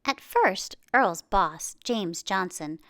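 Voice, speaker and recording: A woman reading a children's picture book aloud in an expressive way, with dramatic pauses and exclamations. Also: mid-range voice. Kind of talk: children's storybook narration.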